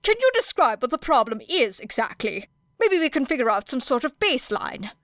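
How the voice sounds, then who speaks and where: A woman's voice: high-pitched, big pitch swings, brisk, a bit bright, no room sound, pitched above the performer's own voice. A woman voicing an earnest, firm teenage boy speaking like a class leader, in a storybook audio reading.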